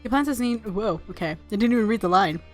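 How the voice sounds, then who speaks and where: A woman's voice: medium pitch, lively intonation, fast. A woman reading visual novel narration aloud as a let's player, with casual, playful reactions. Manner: playful, giggly commentary. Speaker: let's play YouTuber. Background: game music.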